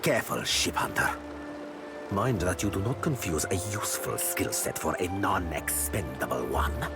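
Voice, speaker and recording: An actor playing a suave, sly pirate captain in a video game, speaking formally and courteously. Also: male voice, deep voice, expressive, normal speed. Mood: stern rebuke. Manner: formal, elaborate, courteous phrasing. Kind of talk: video game character acting. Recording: loud music behind the voice.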